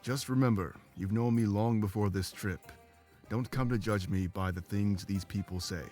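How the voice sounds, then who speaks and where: Man playing a low-voiced, guarded, loyal adventurer. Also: normal speed, darkish tone, faint music behind, natural voice. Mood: guarded, reassuring. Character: guarded, loyal male adventurer, plain-spoken travel companion. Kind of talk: scripted visual novel dialogue.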